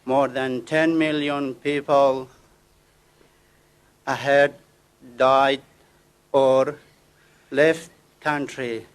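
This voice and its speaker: Man from afghanistan, very slow careful speech, flat voice, lots of restarts. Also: mid-range voice, clean tone. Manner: halting, with restarts and broken grammar. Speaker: guest speaker. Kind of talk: prepared speech at a ceremony.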